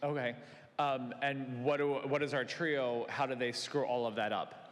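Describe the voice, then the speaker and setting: A man's voice: mid-range voice, steady tone, normal speed. A man interviewing actors at a convention panel, asking playful questions and laughing along. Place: convention hall. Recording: echoey convention hall.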